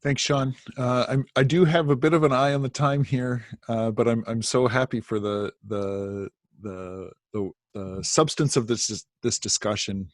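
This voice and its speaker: A man's voice: medium pitch, steady tone, measured pace, dry recording, studio quality. A man hosting a video call interview, speaking with many filler sounds. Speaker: interview host.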